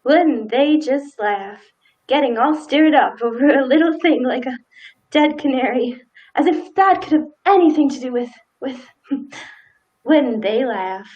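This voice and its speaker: Muffled radio drama role: timid, dutiful wife, played by a woman, high soft-toned voice, hesitant and grieving. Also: animated, measured pace. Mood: nervous. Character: timid, dutiful sheriff's wife, haunted by grief. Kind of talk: stage-like radio play acting. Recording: slightly dull, muffled sound.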